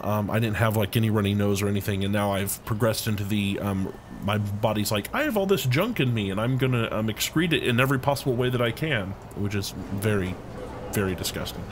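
A man's voice: on the low side, lively intonation, brisk. Man, game commentary, rambling, slightly scratchy voice, recovering from illness, noisy background. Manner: rambling, thinks aloud, hums filler tunes. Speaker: let's play host. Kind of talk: casual gameplay commentary.

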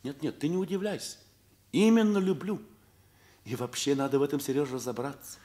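A man's voice: fairly high pitch, fairly quick, warm tone, lightly acted. An actor playing a guilty middle-aged father, hesitant and pleading, in a Soviet radio play.

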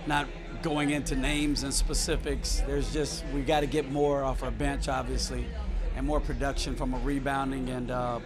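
A man in his fifties coaching basketball talks through a post-game press conference in a small indoor locker room, candid and halting, circling back over his words. His voice sits mid-range for a man, picked up by a microphone set in front of him. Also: medium pitch. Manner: candid, halting, many restarts and fillers. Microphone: table microphone in front.

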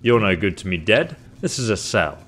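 A man's voice: lowish voice, animated, normal speed, fairly bright tone. A man in his thirties giving casual gameplay commentary with dry, wry humor. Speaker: video game YouTuber. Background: game music.

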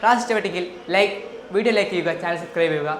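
A woman's voice: fairly low pitch, rapid-fire. A woman with a Malayali accent tutoring physics, explaining step by step as in a school lesson. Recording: echoey room with faint noise.

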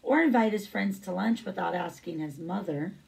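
A woman's voice: mid-range voice, animated, normal speed, creaky, recorded from a distance, low-quality recording. A middle-aged woman reading a picture book aloud as a teacher, with brief asides.

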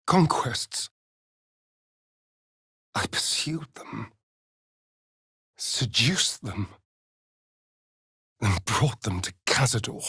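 A man's voice: mid-range voice, a bit bright, husky, no room sound, clean studio sound, heavily acted. A male actor with an English accent voicing a sardonic, seductive, vain vampire rogue in a video game, with an arch, flamboyant drawl. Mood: brooding, earnest. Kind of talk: theatrical video game dialogue.

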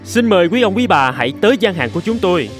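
A man's voice: sits high, very animated, fast talker, soft background music. A man playing a showy fairground presenter in a cartoon, emphatic and salesmanlike. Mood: proud, selling. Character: showy male fairground presenter pitching to a crowd. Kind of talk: theatrical cartoon announcing.

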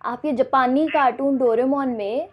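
A woman's voice: high voice, steady tone, normal speed, husky. A woman, playing an indignant, scolding mother on a prank phone call. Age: 20s. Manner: scolding, indignant, talking over the other person. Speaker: prank caller. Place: small curtained room indoors. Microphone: clip-on lapel mic.

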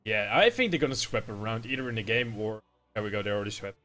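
A man's voice: lowish voice, expressive, fast talker, pushed and bright. A man casting esports in a chatty style, often laughing with his co-caster. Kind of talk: esports commentary.

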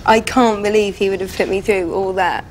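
A woman's voice: mid-range voice, fairly even intonation, a little fast, slight background noise. A British woman in her twenties, talking candidly as a bride on a reality show. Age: 20s. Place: indoors, bridal shop.

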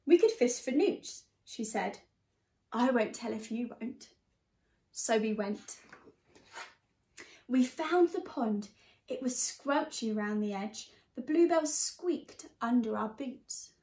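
High-voiced young woman reading in an echoey room. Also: takes it slowly. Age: young adult. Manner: expressive, addressing young children. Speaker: teacher reading a picture book to children. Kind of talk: storybook read-aloud.

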